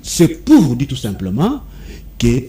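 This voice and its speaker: A man's voice: mid-range voice, huge pitch swings, measured pace, over a noisy crowd. A man with a Congolese accent debating politics heatedly, insistent and argumentative, often repeating his phrases. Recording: echoey room with overlapping voices.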